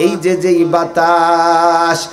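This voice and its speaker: A man voicing a humble, unschooled boatman in a sermon parable, with a Bangladeshi accent and drawn-out, sing-song calls. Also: on the high side, completely flat delivery, roomy sound, soft background music. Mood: triumphant warning. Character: humble unschooled village boatman, finally turning the tables.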